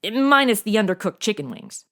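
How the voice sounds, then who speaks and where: A woman's voice: mid-range voice, expressive, moderate pace, natural voice. A woman playing a sarcastic, outspoken young modern granddaughter with slangy delivery in a comedy audio drama. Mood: snarky. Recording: music under the voice.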